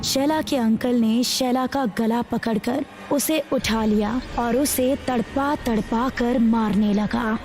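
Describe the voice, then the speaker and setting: A woman's voice: fairly high pitch, steady tone, slow, hardly any pauses, a little mellow, a little hiss or hum. A woman narrator reading a scripted horror story.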